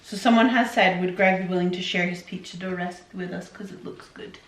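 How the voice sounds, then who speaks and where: A woman's voice: lowish voice, fairly even intonation, fairly quick. A woman in her forties, raised in Scotland, answering viewer questions at home in a rambling, chatty way. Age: 40s. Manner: rambling, chatty, self-interrupting. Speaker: vlogger. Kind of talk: casual Q&A chat. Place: home room. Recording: echoey room from far off.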